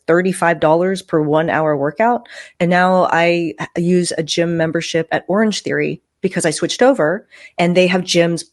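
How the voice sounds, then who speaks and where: A woman with a fairly low voice hosts a podcast in a casual, conversational way. She talks with emphasis and sprinkles in informal filler as she makes her points. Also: darkish tone. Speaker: podcast host.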